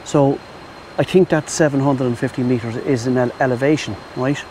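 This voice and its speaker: Irish male travel vlogger, rambling and chatty, outdoor lav mic, mid-pitched voice. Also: fairly even intonation, brisk, darkish tone, slight background noise, poor audio. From Ireland. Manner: rambling, chatty, addresses viewers as "my friends". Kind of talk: casual travel vlog to camera. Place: outdoors in Thai countryside. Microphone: lavalier mic.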